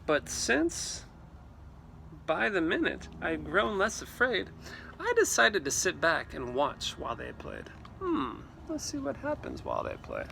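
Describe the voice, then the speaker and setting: Animated man reading a storybook, lively swooping pitch. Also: a little slow, vocal fry, a little hiss or hum. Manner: theatrical, with exclamations and asides to children. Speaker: read-aloud teacher. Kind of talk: animated storybook read-aloud for children.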